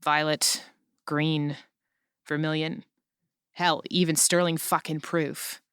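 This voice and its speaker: A woman's voice: low-pitched, level tone, slow, fairly bright tone, clear, resonant tone, no echo, studio quality. A woman playing a blunt, sweary, determined government invigilator in an urgent crisis, in an audio drama. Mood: grim, resolute. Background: music.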